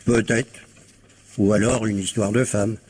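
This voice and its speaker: A man's voice: lowish voice, fairly even intonation, a little slow, darkish tone, a little hiss or hum. An actor playing a world-weary, sardonic policeman in a radio crime drama, talking in a slangy, clipped, colloquial way. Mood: sardonic briefing. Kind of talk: 1950s-style French radio crime drama acting.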